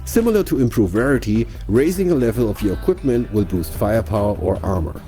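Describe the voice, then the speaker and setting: A man's voice: lowish voice, expressive, brisk, warm tone. A man presenting a game showcase in a conversational, scripted promotional style, explaining things directly to viewers. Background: background music.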